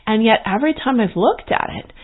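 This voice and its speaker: A woman's voice: on the high side, expressive, a little fast, slightly rough. A woman hosting her own podcast, talking earnestly and reflectively about life paths and now and then acting out the thoughts of her clients. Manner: earnest, reflective, with quoted inner voices. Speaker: podcast host and coach. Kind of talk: solo podcast monologue. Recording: phone-like narrow sound.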